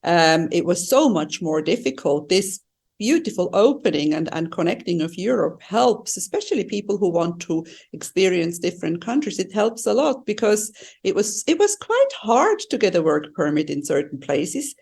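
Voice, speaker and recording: A woman's voice: lowish voice, big pitch swings, normal speed, vocal fry, darkish tone, breathy edge. A middle-aged woman from Switzerland co-hosting a podcast in casual, conversational travel talk. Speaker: podcast co-host.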